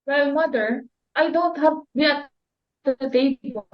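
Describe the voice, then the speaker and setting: A woman's voice: sits high, unhurried. A young woman reading the part of a young debutante daughter, plain and mildly reluctant. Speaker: reader for Elaine. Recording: dull lo-fi recording.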